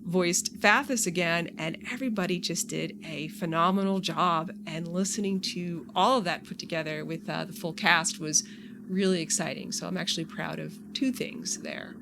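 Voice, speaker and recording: A woman's voice: fairly low pitch, moderate pace. A woman who develops game mods, giving casual, reflective answers in a conversational interview style. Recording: clearly noisy background.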